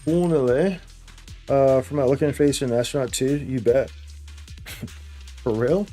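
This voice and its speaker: A man's voice: mid-range voice, steady tone, slow, warm tone, soft background music. A man giving casual, slangy live commentary as he streams video games. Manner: informal, slangy bro and dude talk. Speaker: game streamer.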